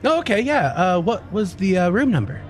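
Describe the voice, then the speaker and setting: A man playing an awkward, bored young hotel clerk in an audio drama, halting and stammering but polite. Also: high voice, big pitch swings, faint music behind. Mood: flustered, polite. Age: young adult.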